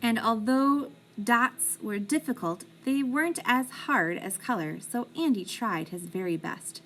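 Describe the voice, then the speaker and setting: A woman reading a children's picture book aloud in an expressive, deliberate way, like a teacher with young pupils. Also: a little high, a little hiss or hum, poor audio.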